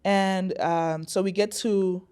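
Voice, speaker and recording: A woman in her twenties, a guest in a podcast studio, telling a personal story in an animated way and acting out what others said. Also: mid-range voice, slow pace, clear, resonant tone. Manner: animated, acts out quotes, frequent "like" fillers.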